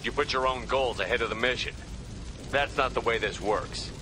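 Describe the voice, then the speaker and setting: A man's voice: medium pitch, normal speed, background noise, natural voice. A man playing a stern, principled military squad commander, firm and reproachful, in a video game cutscene.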